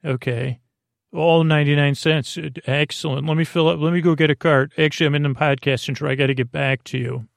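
A man hosting a sleep podcast with an improvised bedtime monologue, meandering, halting and mildly self-deprecating, with a creaky voice. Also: a little high, steady tone, no room sound, studio quality. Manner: meandering, halting, often trailing off mid-sentence.

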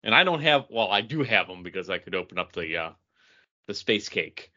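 A man's voice: on the low side, big pitch swings, fairly quick, bright, forceful tone, dull audio. A man co-hosting a podcast in a casual, conversational style. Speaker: podcast host. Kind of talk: casual chat.

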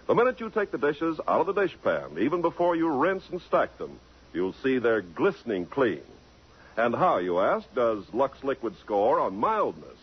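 A man's voice: medium pitch, huge pitch swings, normal speed, husky. An American man announcing and reading folksy scripted advertisements in the style of 1950s radio. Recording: muffled 1950s radio broadcast.

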